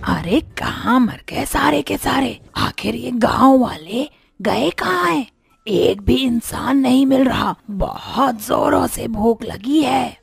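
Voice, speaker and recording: Woman voicing a creaky high-pitched hungry witch. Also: expressive, no room sound, exaggerated voice. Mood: frustrated, hungry. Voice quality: creaky, gravelly. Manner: slow, drawn-out, theatrical. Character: hungry menacing female village witch, a man-eating ghost. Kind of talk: Hindi animated horror story acting. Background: music.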